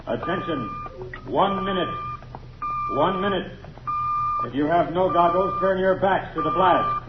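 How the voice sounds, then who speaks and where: A formal, tense military announcer in an old radio play. His high voice swings widely as he calls out over a loudspeaker. The sound echoes and is very noisy. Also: huge pitch swings, full character voice. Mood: tense countdown. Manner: loud, formal, deliberate. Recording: echoing, muffled, very noisy old recording.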